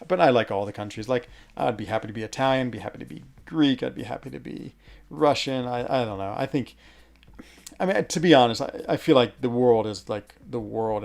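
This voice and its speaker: A man's voice: medium pitch, vocal fry. A man chatting casually with his stream audience, rambling with many fillers and restarts, from his home office. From Switzerland. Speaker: gaming streamer answering chat. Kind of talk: casual chat.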